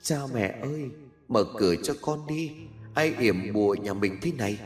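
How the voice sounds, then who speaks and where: A man's voice: lowish voice, lively intonation, normal speed, in a large hall, soft background music, close to an everyday voice. Faint wailing ghost son, as a man puts him on. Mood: pleading wail. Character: ghost of a dead young son, hungry, cold, pleading.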